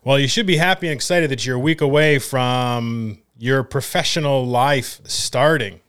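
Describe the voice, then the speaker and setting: Male sports host, smooth and clear, informal buddy style. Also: medium pitch, moderate pace, a bit bright. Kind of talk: casual sports talk and interviewing.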